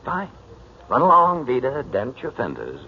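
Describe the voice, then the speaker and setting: Suave sardonic playboy, low voice that swoops around, old dull radio drama. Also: male voice, wildly swooping pitch, normal speed, gravelly. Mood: breezy. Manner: flippant, sardonic. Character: idle, suave, sardonic playboy living off a woman. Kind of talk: 1940s radio drama acting. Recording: dull, noisy 1940s radio recording.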